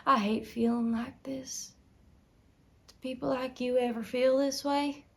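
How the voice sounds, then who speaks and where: A woman's voice: high-pitched, fairly even intonation, a little slow, gravelly. A woman acting a desperate, tormented young farm wife in an intense dramatic film monologue. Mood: confessional, yearning. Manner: intense, swinging from whispers to anguished outbursts. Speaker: acting student.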